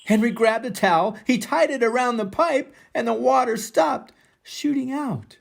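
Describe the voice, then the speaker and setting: An older man reads a children's picture book aloud in an expressive, dramatic way, drawing out words for emphasis. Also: very high-pitched, vocal fry, slight background noise. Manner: dramatic, deliberate, drawn-out emphasis. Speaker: storybook reader for children.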